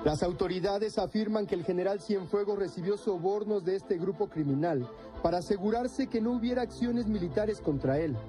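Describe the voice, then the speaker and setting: A man reading a scripted news report as a voice-over in a steady, formal way. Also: high-pitched, moderate pace. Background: music bed.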